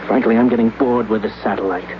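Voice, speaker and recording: A man's voice: lowish voice, fairly even intonation, quick pace, background noise, natural voice. An actor playing a cynical, bored man who talks curtly and sneers, in 1950s radio drama style. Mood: sneering. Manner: curt, sneering. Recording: dull lo-fi old radio recording.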